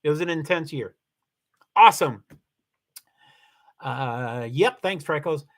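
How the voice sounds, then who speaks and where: A man's voice: on the high side, lively intonation, takes it slowly. A man from Kentucky hosting a casual livestream, chatting loosely with viewers, rambling and often stopping to correct himself. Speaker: livestream host. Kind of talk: casual livestream chat, reading viewer comments.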